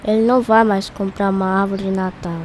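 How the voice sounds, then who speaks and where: A woman voices a curious little boy in a radio drama. The tone is mellow and dark, with faint ambient noise. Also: medium pitch, little pitch movement, a little fast, lightly acted. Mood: wondering. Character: curious young boy, bereaved twin brother.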